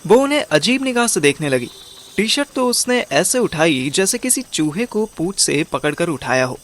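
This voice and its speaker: A man's voice: sits high, very animated, normal speed, fairly bright tone. A man narrating and playing a scheming, overweight amateur detective in an audio drama, with a wry, colloquial manner. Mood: sardonic. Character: overweight, scheming male amateur detective telling his story. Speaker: narrator and lead character.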